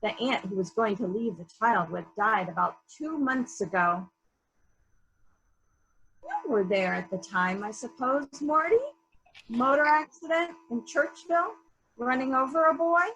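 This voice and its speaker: Woman acting a lady detective, brisk n sceptical, higher bright voice, murder mystery reading. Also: expressive, poor audio. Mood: businesslike, probing. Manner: probing, businesslike, sceptical. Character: brisk, suspicious female police investigator questioning witnesses. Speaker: library staff member reading a part.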